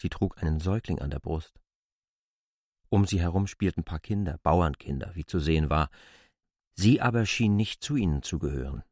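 A man's voice: low voice, normal speed, darkish tone, no room sound, studio quality. A man narrating a literary classic as a German radio drama.

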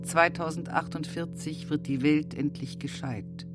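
Actor as higher-voiced solemn male prophet. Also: steady tone, unhurried, close to an everyday voice. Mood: solemn, visionary. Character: solemn male medium prophesying a better future world. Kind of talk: scripted radio play monologue, prophetic declaration. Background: music.

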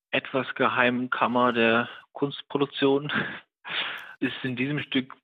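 Guy writer talking on phone, flat delivery, halting, thinking as he goes. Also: medium pitch. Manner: halting, thoughtful. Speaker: writer, interview guest. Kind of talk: reflective interview talk. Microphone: telephone. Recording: dull, narrow telephone line.

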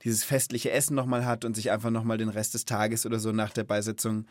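A man's voice: on the low side, flat delivery, fast talker, no echo. Man hosting, dry close sound. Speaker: podcast host. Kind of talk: casual conversational talk for children.

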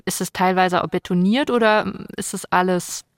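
A woman's voice: fairly low pitch, normal speed, no room sound. A woman hosting a podcast interview, asking questions in an informal, colloquial and inquisitive way. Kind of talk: casual interview chat.

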